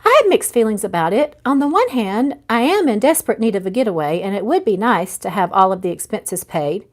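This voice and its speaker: A woman's voice: mid-range voice, lively intonation, fairly quick, lightly acted. A woman voicing a guarded, heartbroken businesswoman in a romance novel, confiding and rueful. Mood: reluctant, guarded. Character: weary, heartbroken businesswoman, guarded about lost love.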